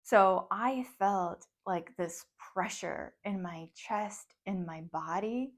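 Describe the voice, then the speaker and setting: A woman's voice: mid-range voice, takes it slowly. A woman in her thirties, a psychologist and coach whose first language is Russian, talking reflectively to camera and laughing often between thoughts. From grew up in the United States from age ten, born abroad. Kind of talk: personal talk to camera.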